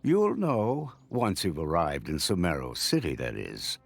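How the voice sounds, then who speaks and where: Low male voice, authoritative older sage, measured and formal, very wide intonation, game dialogue. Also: wildly swooping pitch, gravelly, exaggerated voice. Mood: composed, stern. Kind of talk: video game voice acting.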